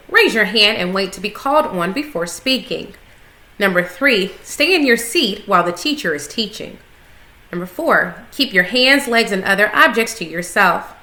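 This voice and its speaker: A woman reads a children's story aloud in an expressive, clearly phrased way. Her voice is bright and medium in pitch, recorded in an echoey room. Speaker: story reader, school coach.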